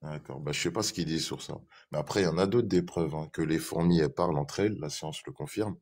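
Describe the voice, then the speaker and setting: A man's voice: a bass voice, fast, a little mellow. A man in a live online debate, defending his view hesitantly, repeating himself and reading verses aloud.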